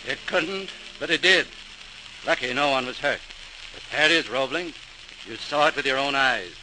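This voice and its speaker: A man's voice: medium pitch, moderate pace, natural voice. An actor playing a skeptical, brusque male official in old-time radio drama, speaking bluntly and dismissively on a noisy old recording. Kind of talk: 1940s radio drama acting.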